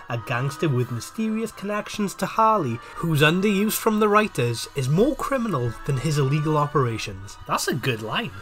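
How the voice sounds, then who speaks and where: High-voiced man narrating a wry scripted review. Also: a bit bright. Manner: wordy, formal phrasing, wry. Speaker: video essayist and reviewer. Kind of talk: scripted review narration with dry joking asides. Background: music.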